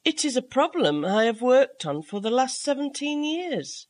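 A woman voicing an earnest male scientist who explains his discovery in a measured, patient way. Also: sits high, big pitch swings, pitched above the performer's own voice. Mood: explaining.

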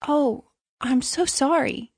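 A woman's voice: high-pitched, slow pace, a little mellow, no room sound, studio quality, voice pushed up. A woman voicing a naive, good-hearted little man in a storybook, earnest, apologetic and eager to please. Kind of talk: storybook character voice.

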